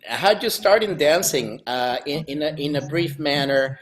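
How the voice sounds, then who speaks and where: A man's voice: on the high side, animated, moderate pace. A man hosting a casual podcast interview, asking questions with stammers and repeated words. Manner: stammering questions, frequent fillers. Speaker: podcast host.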